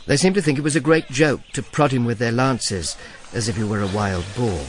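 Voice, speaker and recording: A man's voice: mid-range voice, fairly quick, close to an everyday voice. Radio drama lead male actor: world-weary imperial agent, dry narrator and wry talker, middle register, noisy atmosphere behind. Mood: sardonic. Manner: dry, sardonic, understated. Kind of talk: first-person radio drama narration and dialogue.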